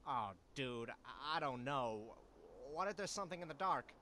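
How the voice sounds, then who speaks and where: A man's voice: mid-range voice, lively intonation, moderate pace, bright, forceful tone, a little hiss or hum. A man voicing a nervous, whiny, foul-mouthed animal scavenger in a cartoon game, hesitant and exasperated. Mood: nervous, reluctant.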